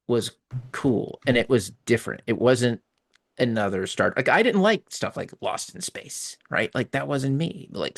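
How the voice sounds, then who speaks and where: A man's voice: medium pitch, vocal fry, fairly bright tone, no echo. A middle-aged man co-hosting a podcast, chatting informally and emphatically as a fan. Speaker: podcast co-host. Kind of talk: casual fan chat.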